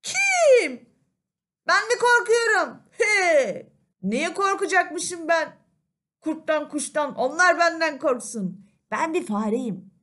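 A woman voicing a small, excitable, dramatic mouse in a children's fairy tale. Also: very high-pitched, slow, full character voice. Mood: cocky, boastful. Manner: dramatic, protesting, exclaiming. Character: small timid mouse, turned cat, dog, wolf, excitable.

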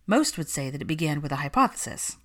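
Woman, story narrator, scripted reading, measured delivery, highly varied melody, lower-leaning pitch. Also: very animated, a bit bright. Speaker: fan fiction audiobook narrator.

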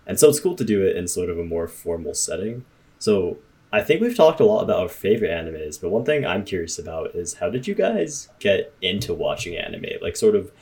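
A man's voice: low-pitched, a little mellow. A young man from Canada hosting a casual podcast, asking friends curious questions. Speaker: podcast host.

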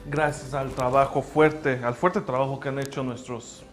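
A man whose first language is English gives an earnest, emphatic motivational talk about his language school, speaking in halting phrases. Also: medium pitch, little pitch movement. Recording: roomy echo with faint music.

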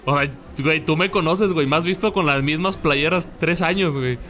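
Mexican male co-host, blunt slangy banter, slightly high voice, faint music bed. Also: normal speed, fairly bright tone. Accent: Mexican Spanish. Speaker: podcast co-host. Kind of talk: casual chat.

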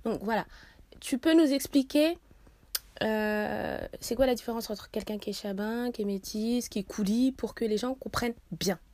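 A woman's voice: fairly high pitch, moderate pace, a little mellow. A young woman hosting a podcast interview, asking long, earnest questions with frequent fillers. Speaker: podcast host.

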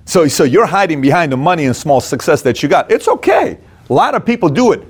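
A man's voice: on the high side, big pitch swings, fairly quick, husky. A man speaking as a motivational business coach, conversational yet emphatic, using punchy short phrases and rhetorical questions.

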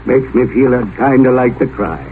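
A man's voice: mid-range voice, animated, normal speed, natural voice. An actor playing a rich, volatile old man afraid of death in a vintage radio drama, blustering and folksy. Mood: folksy, restless. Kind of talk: 1950 radio drama acting. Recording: noisy, tinny old radio broadcast.

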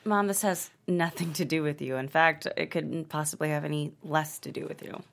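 A woman's voice: low-pitched, fairly even intonation, normal speed, vocal fry. An actress reading an earnest young adult daughter coming out to her mother at a table read. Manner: earnest, exasperated.

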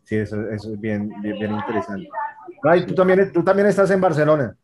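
A man's voice: medium pitch, little pitch movement, brisk, crowd noise. A man hosting a casual radio interview, friendly and rambling, calling his guest "brother". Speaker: radio host.

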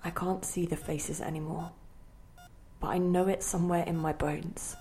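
Husky, grave female spirit. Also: on the low side, steady tone, moderate pace, warm tone. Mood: tender, sorrowful. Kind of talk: audio play acting.

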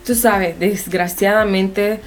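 A woman's voice: mid-range voice, steady tone, slow. A woman with a Honduran Spanish accent, an interview guest telling a personal story in casual conversation, explaining things directly to the listener.

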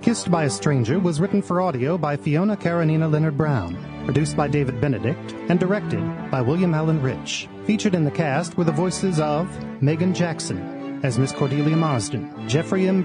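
Male announcer for scripted credits, slightly high voice, music underneath.

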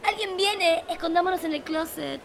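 A woman's voice: very high-pitched, normal speed, vocal fry, over loud music. A woman acting a grandiose, flirty alien drag queen in campy parody radio theatre. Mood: flirty, coy.

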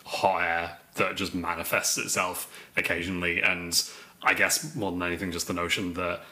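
A man's voice: deep voice, flat delivery, moderate pace, sharp tone. A man co-hosting a casual political podcast, with a wry, sardonic and self-deprecating way of talking. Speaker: podcast co-host.

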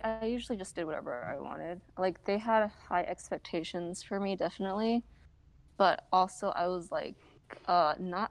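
Lowish-voiced young woman, dry sound. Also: dry recording. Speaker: podcast co-host. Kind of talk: casual chat.

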